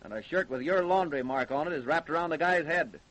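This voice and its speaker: An actor plays a brisk American homicide detective in an old-fashioned radio crime drama. He fires questions very quickly in a mid-pitched male voice. His manner is blunt and pushy. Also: a bit bright, lightly acted, poor audio. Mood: businesslike, probing. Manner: blunt, interrogating.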